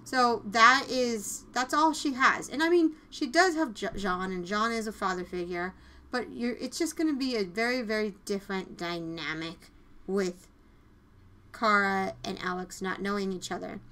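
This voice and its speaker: Female podcast host, bright tone, mid-range pitch, casual and jokey review chat. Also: normal speed.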